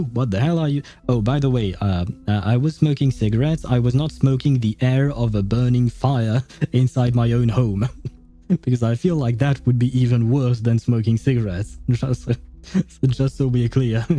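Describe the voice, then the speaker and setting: Dark, mellow-voiced man rambling on stream. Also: medium pitch. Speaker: game streamer. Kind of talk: casual livestream commentary.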